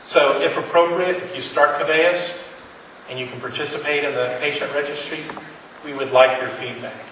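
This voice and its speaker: A man gives an earnest, encouraging talk to patients at a conference, often restarting his sentences. His voice sits at a middle pitch. It carries from a distance through a big, echoey hall with faint background noise. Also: fairly even intonation, normal speed, sharp tone. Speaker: pharmaceutical company presenter. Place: conference room.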